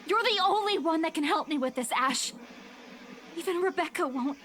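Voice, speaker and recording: An urgent, pleading young heroine. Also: female voice, very high-pitched, lively intonation, normal speed, fairly bright tone, a little hiss or hum. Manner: urgent, insistent. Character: desperate young woman pleading for help. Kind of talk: video game voice acting.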